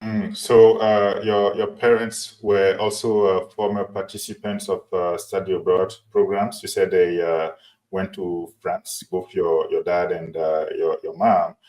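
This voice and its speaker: A man hosting an interview, asking long questions with frequent pauses and restarts. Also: low voice, little pitch movement, a little mellow, rough recording. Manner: halting, many fillers and restarts. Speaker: interview host.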